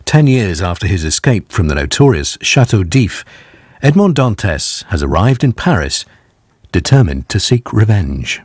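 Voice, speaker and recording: A man's voice: deep voice, sing-song, moderate pace, a little mellow, husky, no room sound, clean studio sound. A man giving a scripted programme introduction as a radio announcer.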